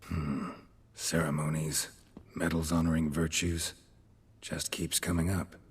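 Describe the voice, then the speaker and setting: An actor playing a gruff, weary swordsman who hunts monsters in a video game, speaking in terse, deadpan sentences. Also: very deep voice, measured pace, exaggerated voice. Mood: deadpan, matter-of-fact.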